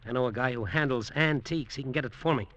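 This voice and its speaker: Actor as hard-boiled radio-drama hustler. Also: male voice, mid-range voice, quick pace, fairly bright tone, dull audio, lightly acted. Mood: eager, pitching. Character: scheming, embittered hustler narrating his island venture. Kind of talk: 1940s-50s radio drama acting and first-person narration. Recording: old radio broadcast recording, music behind.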